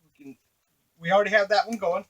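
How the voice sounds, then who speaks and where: A man's voice: high-pitched, steady tone, quick pace, husky, lo-fi sound. A man giving a casual, unscripted tutorial on a device, explaining step by step to the viewer as he works. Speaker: device demonstrator.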